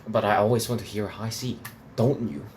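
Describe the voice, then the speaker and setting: A man's voice: fairly low pitch, a little fast, darkish tone, slight background noise. A man from Hong Kong reviewing opera recordings in a rambling, casual way, full of restarts and fillers. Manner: rambling, many restarts and fillers, laughs between points.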